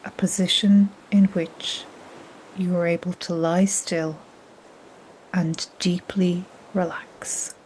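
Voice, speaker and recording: A woman guiding a yoga nidra relaxation in a soft, breathy, half-whispered, soothing manner. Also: fairly low pitch, takes it slowly, poor audio. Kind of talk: guided relaxation meditation. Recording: steady soft noise like ocean waves. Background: gentle ocean waves.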